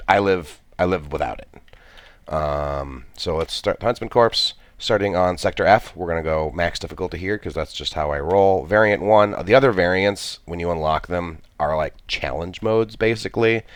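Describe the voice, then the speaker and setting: A man's voice: sits low, moderate pace, no echo. A man with a stuffy cold, commenting on a strategy game he plays, thinking aloud with many restarts. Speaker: gameplay commentator.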